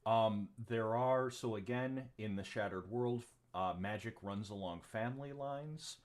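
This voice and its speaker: Low-voiced guy gm, slow and rambly, lots of ums, swears a bit. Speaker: game master. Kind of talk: narrating a tabletop game on a livestream.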